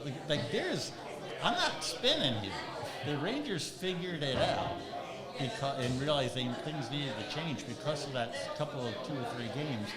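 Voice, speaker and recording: A man guesting on a sports show, chatting casually and emphatically, with a habit of repeating himself. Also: medium pitch. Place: live from a bar and grill. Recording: echoey bar with loud crowd. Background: loud bar crowd.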